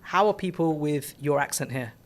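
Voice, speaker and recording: A man hosting a casual podcast, telling animated stories and often repeating words as he restarts. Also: fairly high pitch, moderate pace. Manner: animated storyteller, repeats words and restarts, frequent "you know". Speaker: podcast host.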